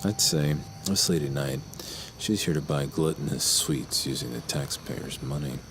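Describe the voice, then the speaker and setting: An actor as a deep-voiced, brooding, tormented writer. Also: male voice, unhurried, vocal fry, background noise, heavily acted. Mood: coldly persuasive. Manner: wordy, theatrical, brooding. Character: desperate, self-tormented male crime writer talking to himself, turning sinister. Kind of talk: audio drama acting.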